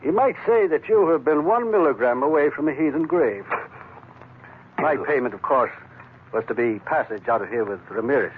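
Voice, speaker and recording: A man's voice: mid-range voice, animated, moderate pace, narrow, tinny audio, close to an everyday voice. A thin, faintly noisy vintage radio recording carries a man acting as a shady island doctor. He is wordy and sardonic, with a mock-genteel polish, in the manner of a 1940s adventure drama. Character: cynical, eloquent crooked island doctor, sardonic.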